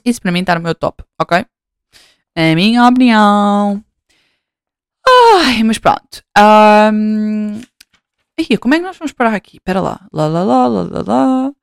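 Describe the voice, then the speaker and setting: A woman's voice: mid-range voice, big pitch swings, a little slow, gravelly, clean tone, no echo, clean studio sound. A woman in her twenties with a European Portuguese accent, hosting a podcast in casual chat, rambling, swearing freely and mocking herself. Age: 20s. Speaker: podcast host.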